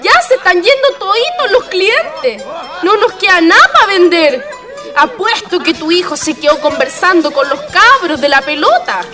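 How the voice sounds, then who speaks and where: A woman's voice: very high voice, very animated, normal speed, music in the background. A woman playing an exasperated, scolding mother at a food stall, with a Chilean accent, in a radio drama. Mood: grumbling.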